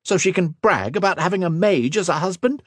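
A man's voice: high-pitched, expressive, brisk, no echo, studio quality, voice pushed up. A man voicing a furious, defiant princess in an audiobook, snapping with indignant emphasis.

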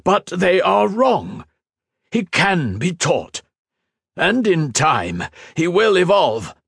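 A frantic male scientist begging for mercy, as a man puts him on for a storybook reading. He pushes his voice up high and strained. The delivery is slow and swings widely in pitch, and it sounds dry and close. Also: expressive, vocal fry, a bit bright, breathy edge, no room sound, full character voice. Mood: outraged. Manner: pleading, strained, rising to outbursts. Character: anguished male scientist pleading for his creation.

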